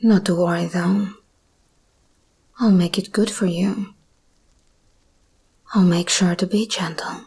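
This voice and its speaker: A woman voicing a seductive, menacing female monster hybrid in an ASMR roleplay, speaking in a breathy whisper with slow, deliberate, teasing delivery. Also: on the low side, steady tone, darkish tone, heavily acted.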